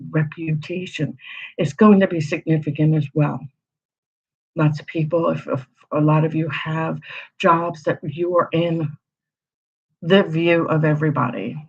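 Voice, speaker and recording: A woman's voice: low voice, slightly rough. Woman rambling through an astrology forecast. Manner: rambling, piles up rhetorical questions. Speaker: astrology channel host. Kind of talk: casual astrology forecast talk. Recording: slightly dull lo-fi audio.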